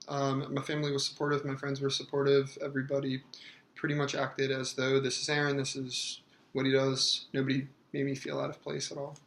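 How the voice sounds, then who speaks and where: A man in his thirties answering interview questions hesitantly, with many fillers and pauses. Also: mid-range voice, completely flat delivery, a bit bright, reverberant, rough recording. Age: 30s.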